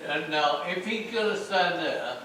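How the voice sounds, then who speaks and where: A man directs actors at a rehearsal in a slightly high voice. He is plain-spoken and instructive, often repeating himself. The sound is distant and noisy, with heavy room echo. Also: lively intonation, a little slow, sharp tone. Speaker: stage director. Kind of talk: giving blocking notes at a play rehearsal. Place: echoey indoor rehearsal space, recorded from afar.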